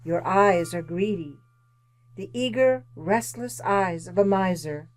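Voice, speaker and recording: A woman playing a solemn, probing spirit guide in old-time radio drama style, questioning and commanding with deliberate delivery. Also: mid-range voice, close to an everyday voice. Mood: grave, insistent.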